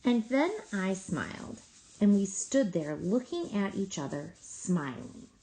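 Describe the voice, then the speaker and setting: Female storybook reader, slow and measured, with animated pitch movement. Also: a little hiss or hum, poor audio. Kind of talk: expressive children's story read-aloud.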